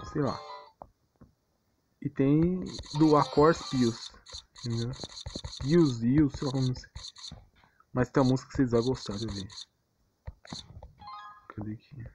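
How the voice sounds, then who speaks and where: A man's voice: medium pitch, darkish tone, slight background noise, rough recording. A man with a Brazilian accent giving casual gameplay commentary in a rambling, slangy, unsure way. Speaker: gaming channel host. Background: game music.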